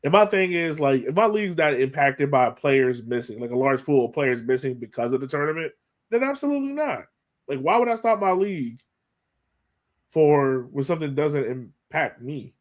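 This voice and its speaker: A man hosting a sports podcast in casual chat, animated and often repeating phrases for emphasis. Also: on the high side, normal speed. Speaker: podcast host.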